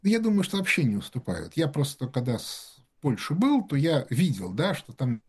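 A man's voice: medium pitch, huge pitch swings, moderate pace, slightly rough. A man telling rambling anecdotes in casual talk-show conversation, full of fillers and restarts. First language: Russian.

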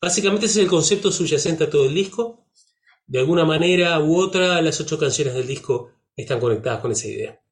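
A man's voice: a little high, animated, brisk. Rioplatense-accented man, musician guest, reflective, easygoing chat. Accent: Rioplatense Spanish. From Uruguay. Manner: reflective, uses many fillers and tag questions. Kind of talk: relaxed conversational interview.

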